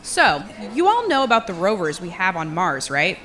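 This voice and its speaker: A woman's voice: lowish voice, very animated, moderate pace, noisy background. A woman playing a brash small-town comedian hosting an open mic night in an audio drama, teasing the room with rhetorical questions. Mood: playful, sardonic.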